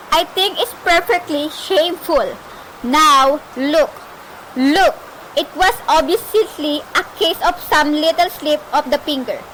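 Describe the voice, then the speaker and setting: Girl doing a melodramatic bossy panicked caller in a radio play, super high voice, slow pace, bit of hiss. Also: fairly bright tone. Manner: melodramatic, insistent, indignant. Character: frantic, imperious woman caller, horrified and demanding. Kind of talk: amateur suspense radio play acting. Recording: noisy amateur recording with faint hiss.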